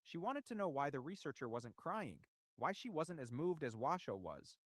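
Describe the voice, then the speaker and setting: A man reading scripted documentary narration with measured, rhetorical, dramatic phrasing. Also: on the high side, big pitch swings, no echo, studio quality. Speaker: video essay narrator.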